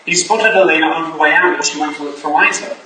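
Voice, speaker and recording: A man playing an eager young Victorian gentleman in a staged mystery play reading, recorded in an echoey, noisy hall. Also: on the high side, fast talker, recorded from a distance. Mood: thoughtful.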